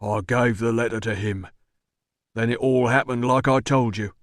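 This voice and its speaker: A man voicing a surly, rough-spoken working-class male doorman in an audiobook, blunt and slow. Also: medium pitch, fairly even intonation, dry recording, studio quality, close to an everyday voice. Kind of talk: audiobook dialogue.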